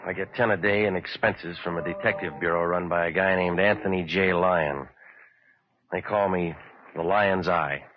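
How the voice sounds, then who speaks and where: Old radio noir detective guy man acting, american, real low voice, cynical n deadpan, muffled old recording. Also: normal speed, close to an everyday voice. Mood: dry, wry. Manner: deadpan, terse. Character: cynical wisecracking hard-boiled male private detective narrator. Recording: dull vintage radio recording with music.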